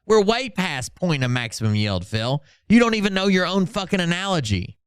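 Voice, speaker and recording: A man's voice: sits high, big pitch swings, moderate pace, smooth, no room sound, clean studio sound. A man hosting a livestream, giving mocking, sarcastic commentary on social media posts in casual, sweary chat. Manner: sarcastic, sweary, mock-dramatic when reading posts out. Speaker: livestream host.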